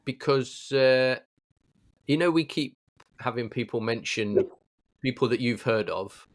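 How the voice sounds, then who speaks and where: A man's voice: medium pitch, a little slow. British man hosting, jokey casual chat. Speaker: podcast co-host.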